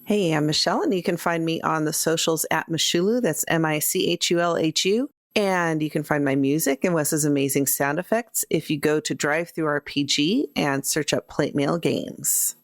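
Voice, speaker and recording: A woman with a deep-set, creaky voice for her sex. She talks casually as a podcast player, recorded close and dry. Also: normal speed, hardly any pauses, no echo.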